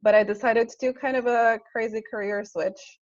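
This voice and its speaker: A woman's voice: on the high side, fairly even intonation, moderate pace, no echo. Woman talking through earbuds. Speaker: coding program participant. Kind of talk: casual video call introduction. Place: indoor room. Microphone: earbuds on a video call. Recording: dull lo-fi video call audio.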